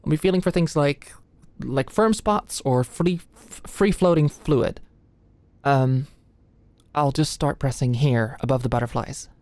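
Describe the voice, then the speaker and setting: A woman playing an anxious, stressed young medical student in an ASMR audio roleplay, halting and stammering as she talks. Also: a bass voice, darkish tone, no room sound. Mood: nervous, apologetic.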